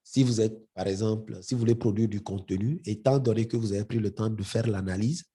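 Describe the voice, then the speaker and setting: A man's voice: fairly low pitch, fairly even intonation, brisk, warm tone, breathy edge. A middle-aged man, leading a live marketing webinar, hesitant and often repeating words. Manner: addresses the audience directly. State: has a head cold. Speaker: webinar trainer.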